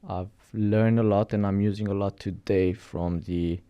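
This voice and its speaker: A man with a Romanian accent speaks in a low, mellow voice. He talks loosely and conversationally, restarting phrases and checking in with "right?" as he tells his story as a podcast guest. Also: steady tone, normal speed, clean tone, muffled recording. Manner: chatty, storytelling, frequent restarts and "right?" tags. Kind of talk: casual interview chat.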